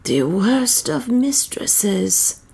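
A woman doing a creaky, whispery old crone. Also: a little high, very animated, drawn-out words, a little mellow, voice pushed up, heavily acted. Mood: earnest. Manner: archaic, pleading, half-whispered speech. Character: haggard old woman wanderer, starving and pleading. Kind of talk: audiobook character reading.

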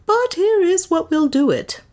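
A woman's voice: very high-pitched, animated, unhurried, a little mellow, pitched above the performer's own voice. A woman voicing a swaggering male adventurer in an audiobook, bold, careless and commanding. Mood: careless bravado. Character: swaggering male adventurer posing as a rich slave trader.

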